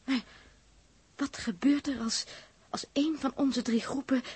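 A woman acting an anxious, questioning crew member of a space expedition in a 1960s radio drama, with a husky, breathy voice. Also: high-pitched, fairly even intonation, unhurried, a little mellow, dry recording, full character voice. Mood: worried, questioning. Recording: old 1960s radio recording.

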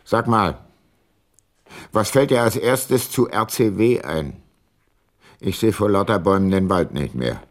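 Man playing old private detective, radio drama, deep voice, dry close sound, weary, reflective narration. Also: measured pace, long pauses, no echo, close to an everyday voice. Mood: troubled. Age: older. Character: ageing, weary male private detective, former prosecutor. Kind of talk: radio play narration and dialogue.

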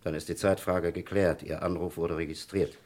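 An actor playing a brisk, commanding police captain in an old German radio crime drama, curt and probing as he questions people. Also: sits low, fairly even intonation, a little mellow. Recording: vintage radio play with clear background noise.

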